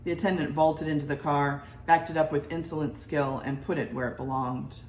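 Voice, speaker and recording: A woman's voice: sits low, steady tone, brisk, darkish tone. A woman narrating a scripted story aloud with expression. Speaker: story reader. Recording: roomy, distant mic, faint noise.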